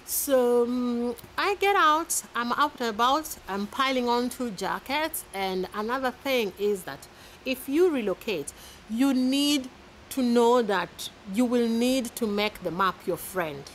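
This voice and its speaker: A woman's voice: a little high, slow, slight background noise, poor audio. Chatty Kenyan woman vlogging outdoors. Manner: chatty, animated, laughs often, with repeated restarts. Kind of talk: casual advice vlog. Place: outdoors in a green garden. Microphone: camera at arm's length.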